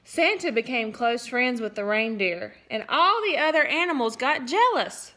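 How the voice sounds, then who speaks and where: A woman teacher reads a storybook aloud to young listeners, her voice bright and fairly high. She is expressive throughout and drops in playful asides as she goes. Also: normal speed. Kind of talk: storybook read-aloud for children.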